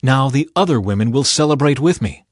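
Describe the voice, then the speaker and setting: A man's voice: medium pitch, expressive, fairly quick, no echo, clean studio sound, lightly acted. A man voicing a desperate, jealous woman of ancient times in a dramatic Bible audiobook reading. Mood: triumphant.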